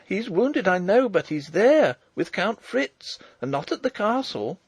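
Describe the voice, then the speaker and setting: A man's voice: high-pitched, big pitch swings, a little slow, husky, no room sound, voice pushed up, full character voice. A man voicing an eager, respectful but stubborn little farm girl in an audiobook, earnest and insistent. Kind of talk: audiobook character voice.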